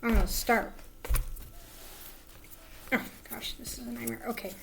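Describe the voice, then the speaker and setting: A woman with a hoarse voice, rambling casually as she hosts a crafting livestream from home, sometimes drifting into soft murmurs. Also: fairly high pitch, fairly even intonation, a little slow, noisy background. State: hoarse, lost voice. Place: at home indoors.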